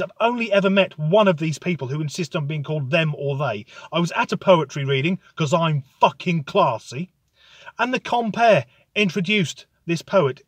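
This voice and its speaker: Male comic ranter, sarcastic and deadpan, slightly high voice, dry close sound. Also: no echo. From United Kingdom. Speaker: comedic ranter. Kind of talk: sardonic comic monologue.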